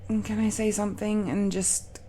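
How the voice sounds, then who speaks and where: A woman playing an affectionate, nervous dragon-blooded young woman in an intimate romantic audio roleplay, speaking softly and haltingly. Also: medium pitch, flat delivery, a little hiss or hum, lightly acted. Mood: nervous, embarrassed. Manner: hesitant, halting confession with nervous laughs and sighs.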